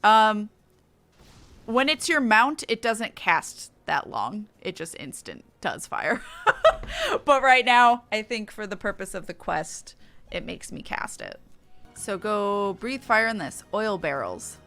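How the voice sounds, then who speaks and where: A woman's voice: medium pitch, sing-song, slow, pushed and bright, soft background music. A woman game streamer giving casual, playful commentary as she plays.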